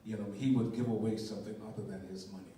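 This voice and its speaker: An older man answers slowly and thoughtfully, stumbling and repeating his words. His voice is low and warm. It sounds distant, caught in a big echoing theater with a faint hiss. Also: steady tone. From Guyana. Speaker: stage actor on a talkback panel. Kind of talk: post-show panel answer. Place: theater stage. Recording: echoey hall from far off.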